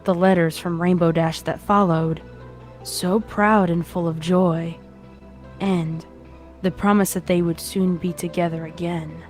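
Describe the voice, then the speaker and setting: A woman with a fairly low voice narrates a horror story. She reads from a script as the narrator of a fan fiction, with music behind her. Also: steady tone, measured pace, a little mellow.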